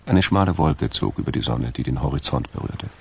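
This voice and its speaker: A man narrating a crime radio play in a measured, wry, literary way. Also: a bass voice, animated, vocal fry, breathy edge, heavily acted. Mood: atmospheric. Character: dry male narrator of a crime story.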